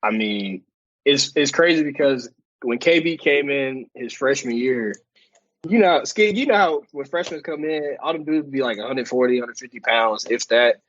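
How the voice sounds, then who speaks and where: A man's voice: mid-range voice, normal speed, fairly bright tone, no echo, highs cut off. A young man co-hosting a casual podcast, joking and laughing in loose slangy banter. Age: young adult. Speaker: podcast co-host. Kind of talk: casual chat.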